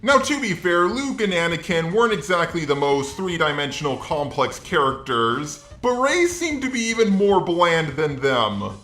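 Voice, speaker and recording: Synthetic robotic male voice, higher pitch, opinionated scripted commentary, sardonic, echoey, faint music. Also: sing-song, moderate pace, few pauses, a bit bright. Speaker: video essayist.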